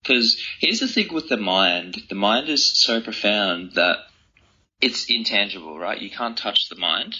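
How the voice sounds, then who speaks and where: Man, interview guest, deep pitch, very sharp forceful tone, earnest, reflective, conversational, slightly lo-fi sound. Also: expressive, a little slow.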